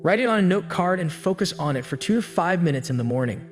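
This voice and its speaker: A man giving scripted self-help narration with an even, steady storytelling delivery. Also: high-pitched, quick pace. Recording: long hall reverb with faint music. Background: faint background music.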